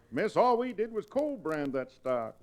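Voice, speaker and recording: Comic frontier sidekick: unusually high, animated voice, grand mock-polite phrasing, theatrical vintage radio delivery. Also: male voice, unhurried. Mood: amused. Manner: mock-genteel, grandly worded. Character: jolly, florid, theatrical sidekick of the hero. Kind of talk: old-time radio Western acting.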